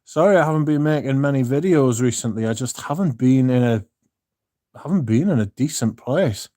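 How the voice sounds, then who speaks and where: A man's voice: medium pitch, expressive, moderate pace, mellow. A man talks into his phone on a casual livestream, sounding tired. He rambles, swears freely and often starts sentences over. The recording is dry and close, with no room echo. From Britain. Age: 30s. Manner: rambling, sweary, frequent restarts. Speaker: streamer.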